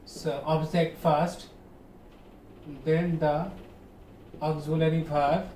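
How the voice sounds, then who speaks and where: Man, Bangladeshi accent, Bengali native, teacher, unhurried, instructional, distant mic with faint noise. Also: fairly high pitch, level tone, mellow, reverberant. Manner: instructional, checking understanding, repeating key terms. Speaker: English grammar teacher. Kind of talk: classroom lesson for an online class.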